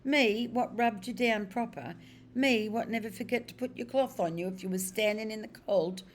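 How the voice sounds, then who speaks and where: A woman voicing a hearty working-class male cab driver with rough, ungrammatical speech. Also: mid-range voice, moderate pace, darkish tone, a little hiss or hum, natural voice. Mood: indignant.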